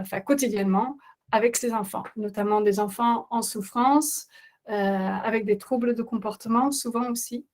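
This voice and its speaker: Woman with a non-native accent, middle-aged, somewhat high voice, hesitant, earnest webinar host. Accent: non-native speaker of French. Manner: hesitant, many filler sounds, earnest. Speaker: parenting coach hosting a webinar. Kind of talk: webinar presentation.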